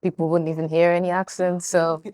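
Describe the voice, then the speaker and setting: A teenage girl co-hosting a talk show, speaking in a halting way as she searches for words. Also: lowish voice, little pitch movement, warm tone, dry recording, clean studio sound. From born in Spain, living in Northern Ireland. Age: teens. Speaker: co-host. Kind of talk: casual chat.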